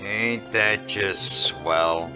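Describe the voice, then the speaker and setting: A man playing a weary, wisecracking, hard-drinking noir private eye in a radio drama, with a deadpan hardboiled delivery. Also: deep voice, extremely slow. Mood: wry, deadpan. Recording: roomy echo, music behind.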